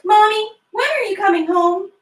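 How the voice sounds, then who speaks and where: A woman's voice: very high voice, moderate pace, a bit bright. A woman voicing an eager, self-important four-year-old girl in a script reading. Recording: echoey room, distant mic.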